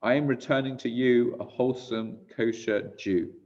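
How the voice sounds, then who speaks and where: A man's voice: medium pitch, fairly even intonation, normal speed, a little mellow, far from the mic, lo-fi sound, close to an everyday voice. A man voicing a desperate, resolute young mother in a dramatic story reading, intense and commanding. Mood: solemn, resolute.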